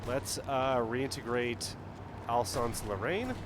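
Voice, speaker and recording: A man's voice: mid-range voice, slow, noisy background. A man streaming a strategy game live, talking casually and thinking aloud as he plays. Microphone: headset.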